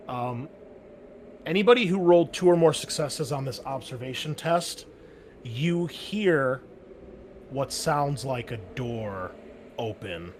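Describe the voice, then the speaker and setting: A man with a middling voice serves as game master for a tabletop roleplaying game. He explains and narrates conversationally, pausing as he thinks. There is some background noise on the recording. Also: medium pitch.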